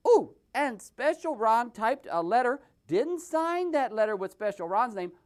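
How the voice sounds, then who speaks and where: A man's voice: very high-pitched, very animated, measured pace. A man hosting a comic puppet show, telling the story in a mocking, theatrical and improvised way. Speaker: host and puppeteer.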